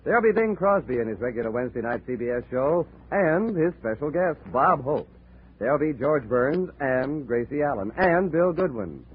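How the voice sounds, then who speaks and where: A man announcing on a 1940s radio broadcast, with a bright, polished promotional delivery. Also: medium pitch, lively intonation, normal speed, slight background noise. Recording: tinny old radio broadcast recording.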